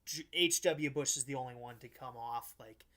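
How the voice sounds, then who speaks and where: A man hosting a podcast, chatting casually about politics in a blunt, halting way, often restarting and correcting himself. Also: medium pitch, sharp tone. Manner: halting, frequent false starts and self-corrections, blunt. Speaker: podcast host.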